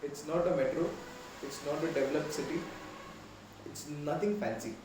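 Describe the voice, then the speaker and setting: A man's voice: fairly high pitch, moderate pace. A young man from Goa with an Indian English accent, talking reflectively and rambling a little in a casual podcast chat. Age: young adult. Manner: reflective, rambling with many restarts. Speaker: podcast guest. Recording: echoey room, distant mic, faint noise.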